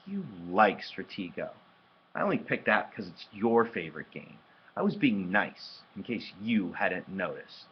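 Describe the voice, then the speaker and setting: A practical young girl from a storybook, played by a man, exasperated with her brother and insistent in a matter-of-fact way. The voice is husky and moves freely up and down in pitch. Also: sing-song, a little slow, dull audio, heavily acted. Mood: hurt, annoyed.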